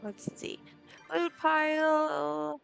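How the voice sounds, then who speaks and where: A woman with a raspy voice chatting casually while streaming a game, rambling with lots of ums and likes. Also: high-pitched, monotone, very slow. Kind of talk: casual live gameplay chat. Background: soft game music.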